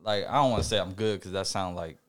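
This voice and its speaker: A young man co-hosting a podcast, talking in a reflective, halting way with many fillers and restarts. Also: deep voice. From Puerto Rican family background. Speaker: podcast co-host. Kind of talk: casual podcast chat.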